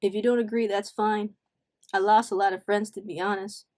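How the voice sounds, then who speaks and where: A woman giving a casual, confessional storytime as a vlogger, animated and emphatic, slangy, acting out what people said. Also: mid-range voice, brisk. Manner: animated, emphatic, acts out reported dialogue; informal slangy talk with swearing. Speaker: vlogger telling a personal story.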